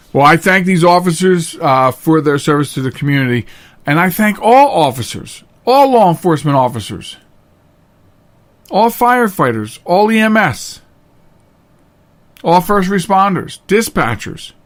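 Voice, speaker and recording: A male podcast host with a fairly high-pitched voice talks straight to his listeners. He is earnest and emphatic, often saying a phrase again to make it land. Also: big pitch swings, a little slow, pauses often. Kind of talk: solo talk show monologue, directly addressing listeners.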